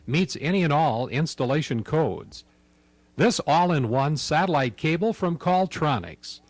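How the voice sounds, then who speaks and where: Male ad voice, lively, dry close-mic sound. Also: on the high side, dry recording. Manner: punchy salesman delivery. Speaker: commercial announcer. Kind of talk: scripted ad read.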